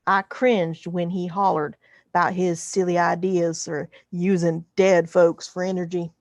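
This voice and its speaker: A woman's voice: lowish voice, little pitch movement, a little slow, dry recording, highs cut off. A woman voicing a wry, folksy alien drifter who narrates a space western story in an exaggerated Texas drawl. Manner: folksy, dry, colloquial. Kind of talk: first-person science fiction story narration.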